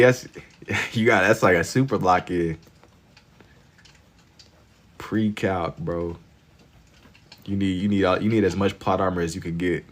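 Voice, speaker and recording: A man in his twenties with a very deep voice hosts a livestream and chats with viewers. He talks in relaxed slang and turns loud and laughing when chat teases him. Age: 20s. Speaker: livestream host. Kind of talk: casual chat with viewers while drawing.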